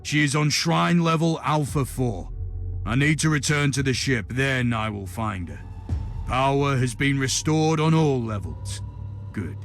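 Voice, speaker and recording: An actor playing a commanding, grim human inquisitor in a video game, speaking formally and tersely with authority. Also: male voice, medium pitch, expressive, measured pace. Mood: grim, resolute. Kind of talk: video game cutscene acting. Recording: music behind the voice.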